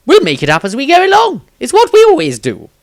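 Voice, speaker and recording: A careless, glib wizard brushes things off in a high, loud, breezy voice, as a man puts him on. He sounds dismissive and self-assured, a storybook spellcaster. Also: wildly swooping pitch, quick pace, no room sound, studio quality, heavily acted. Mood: flippant.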